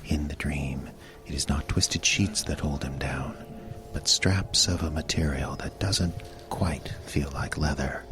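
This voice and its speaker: A man narrating a horror story in a hushed, half-whispered delivery. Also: very deep voice, background noise. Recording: narration over music. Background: music.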